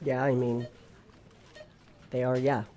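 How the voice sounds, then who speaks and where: A man playing Famine as a sulky, inarticulate young dude in a comedic audio drama, halting and rambling in slang. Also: mid-range voice, level tone, warm tone, lightly acted. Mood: confused, defensive.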